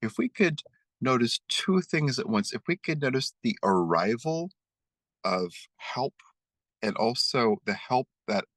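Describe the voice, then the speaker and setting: A man leading a guided meditation and prayer, speaking slowly and soothingly as he leads spoken affirmations. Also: medium pitch, expressive, a bit bright, dry recording. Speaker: spiritual meditation leader.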